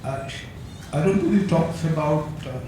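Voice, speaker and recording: A man's voice: on the high side, normal speed, warm tone. Older man lecturing in echoey hall. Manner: hesitant, many fillers, chuckles. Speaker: academic speaker. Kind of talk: conference talk. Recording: echoey hall, distant mic, noisy.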